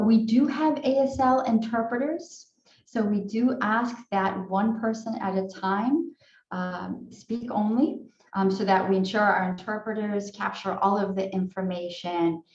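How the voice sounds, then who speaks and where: A woman's voice: mid-range voice, a little mellow. A woman hosting an online training session, speaking politely and steadily with plenty of "um"s. Her voice carries a roomy echo. The audio is a little dull and lo-fi. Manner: polite, measured, frequent fillers. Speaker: training director and moderator. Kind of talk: online training welcome and housekeeping. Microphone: video call. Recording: echoey room, dull lo-fi sound.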